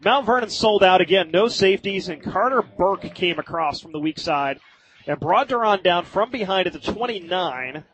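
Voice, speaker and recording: An American man doing live radio play-by-play of high school football in vivid, colloquial language. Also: animated, normal speed, a bit bright, rough recording.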